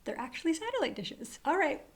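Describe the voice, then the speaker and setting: Lively woman, higher voice, podcast co-host who laughs through her stories. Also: a little fast. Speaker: podcast book club member. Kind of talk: casual chat and segment hosting.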